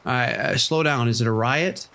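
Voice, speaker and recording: Calm, steady vice cop man. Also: medium pitch, normal speed, gravelly. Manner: steady, questioning, calm under pressure. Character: male vice detective taking calls. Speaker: police detective.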